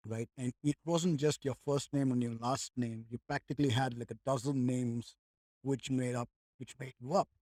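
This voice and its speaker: Rambling man with mellow tone. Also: medium pitch, fairly even intonation, normal speed, no room sound. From India. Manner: rambling, with frequent restarts and "like", "right?". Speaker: podcast guest. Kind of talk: reflective conversational chat.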